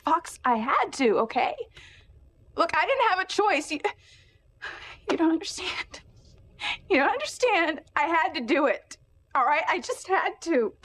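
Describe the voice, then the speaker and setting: A woman acting as a distraught, defensive young mother in a soap opera, halting and pleading. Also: medium pitch, fairly bright tone, slightly rough.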